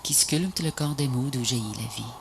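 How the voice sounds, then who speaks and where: A woman recites poetry as a voice-over in a solemn, measured, breathy and often half-whispered delivery. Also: extremely low pitch. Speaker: poet narrator of a video poem. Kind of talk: poetic recitation, scripted voice-over. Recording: noisy VHS transfer, ambient noise.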